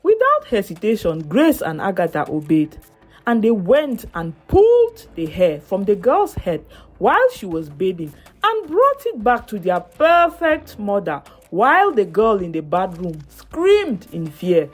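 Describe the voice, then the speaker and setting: A woman's voice: medium pitch, huge pitch swings, unhurried, faint music behind. A woman narrating a scripted dramatic folktale in an emphatic storytelling manner.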